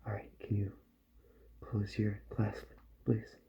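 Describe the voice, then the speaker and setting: A man doing a soft-spoken ASMR roleplay as a calm, polite doctor who repairs robots, murmuring gently and often dropping into a whisper. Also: lowish voice, breathy edge, dull audio. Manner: gentle, slow, murmured reassurance to the listener.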